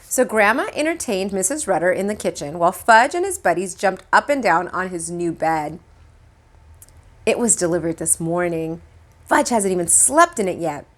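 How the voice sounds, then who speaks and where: A woman reads a children's story aloud in the manner of a teacher. Her delivery is animated, with pitch that swings widely, and she acts out what the characters say. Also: normal speed. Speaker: teacher reading a book aloud to pupils.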